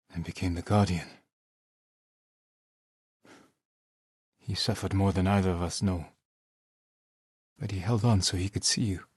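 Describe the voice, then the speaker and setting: An actor voicing a grave, determined samurai warrior in a video game, speaking in measured, solemn, terse lines. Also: male voice, low voice, breathy edge, no echo, clean studio sound, exaggerated voice. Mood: earnest, steady. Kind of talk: dramatic game character dialogue.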